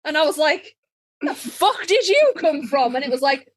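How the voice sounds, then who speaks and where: A woman's voice: high-pitched, sing-song, moderate pace, dry recording. A woman co-hosting a podcast in casual, candid chat, wry and sweary, acting out little dialogues with herself. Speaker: podcast co-host.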